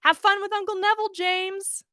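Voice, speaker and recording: A woman's voice: extremely high pitch, little pitch movement, moderate pace, sharp tone, dry recording, clean studio sound, voice pushed up. A woman reading as a confident, bossy young female friend, direct and teasing.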